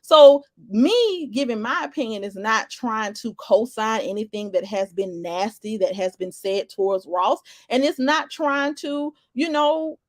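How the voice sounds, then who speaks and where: A woman's voice: a little high, measured pace, hardly any pauses, dry recording, clean studio sound. Chatty woman streaming live. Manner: rambling, frequent restarts and fillers, emphatic. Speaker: livestream commentator. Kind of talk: casual live commentary, greeting viewers in chat.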